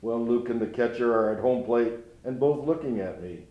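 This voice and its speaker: A man's voice: mid-range voice, normal speed, lo-fi sound, lightly acted. An actor playing a grieving older grandfather who speaks in a folksy, rueful way in a dramatic monologue. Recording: roomy echo.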